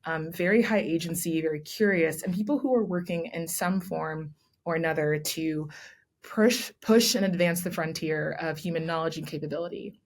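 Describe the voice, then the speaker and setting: A woman's voice: low-pitched, steady tone, normal speed. A young adult woman, raised in Texas, giving articulate, explanatory interview answers as a guest, often adding "right?". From born in Nigeria, raised in Texas. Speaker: guest, commissioning editor.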